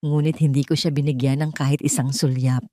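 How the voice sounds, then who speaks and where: A woman's voice: deep voice, little pitch movement, a little fast, mellow, dry recording, studio quality. A woman reading a scripted first-person story as a dramatic narrator.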